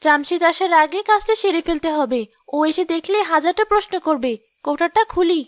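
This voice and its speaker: A woman's voice: very high-pitched, a bit bright. A woman playing a vain, gullible young village wife in a cartoon moral drama, with a theatrical, whiny delivery. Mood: eager, scheming.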